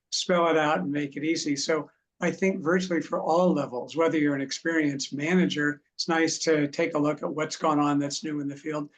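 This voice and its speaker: A man talking as an author guest, explaining in a steady way. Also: on the high side. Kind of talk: explaining in a talk show. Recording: faint background noise.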